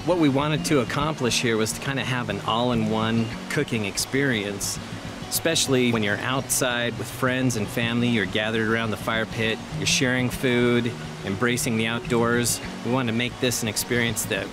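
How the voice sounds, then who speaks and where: A man, a product designer, speaking calmly and reflectively in an interview soundbite. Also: medium pitch, moderate pace. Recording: interview with music bed.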